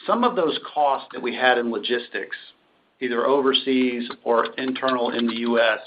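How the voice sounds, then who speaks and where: A man speaking as a company executive, answering analysts' questions on a conference call. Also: medium pitch. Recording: muffled, noisy conference-call line with clicks.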